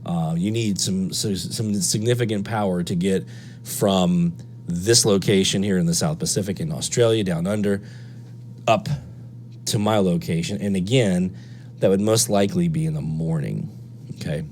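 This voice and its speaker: A man's voice: sits low, moderate pace. An American man hosting a radio hobby show, giving unscripted explanatory commentary in a methodical way, repeating key points for emphasis. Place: indoor room at a radio desk. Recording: steady background noise and hum. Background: hum and noise.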